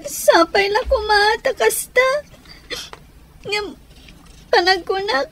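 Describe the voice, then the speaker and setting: Sorrowful little Ilocano kid, piping voice, unhurried, plaintive, for radio serial. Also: female voice, very high voice, a bit bright, a little hiss or hum, exaggerated voice. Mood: sad, plaintive. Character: sad young child pleading with father. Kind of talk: radio drama acting.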